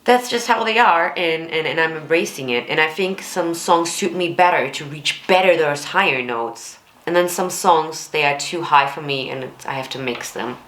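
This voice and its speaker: A woman with a low alto voice, giving blunt, candid and expressive reaction commentary as a vocal coach. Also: normal speed, hardly any pauses, echoey room. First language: German.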